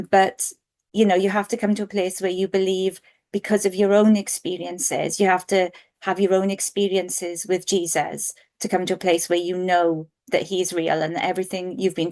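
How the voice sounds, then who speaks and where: Earnest Welsh woman, lowish voice. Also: a little mellow. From Wales. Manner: earnest, thoughtful, frequent ums. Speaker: author guest. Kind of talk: reflective interview answers.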